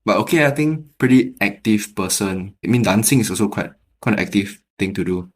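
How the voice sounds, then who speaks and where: Singaporean English male host, lowish voice, chatty and easygoing, laughs often. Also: fairly quick. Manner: relaxed, chatty, laughs easily, repeats words. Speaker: podcast host. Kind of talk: casual interview chat.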